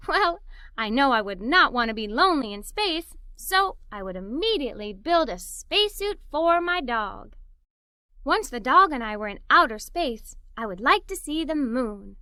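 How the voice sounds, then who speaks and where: A woman reading a children's story aloud in the first person as a cheerful, imaginative little girl, with an expressive, playful delivery. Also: sits high, normal speed, no echo, studio quality.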